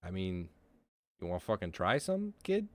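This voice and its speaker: A man's voice: low-pitched, sing-song, normal speed, no room sound. A man voicing a foul-mouthed female smuggling boss in a tabletop game, pushy and brash. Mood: brash scheming. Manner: swears often, brash and pushy.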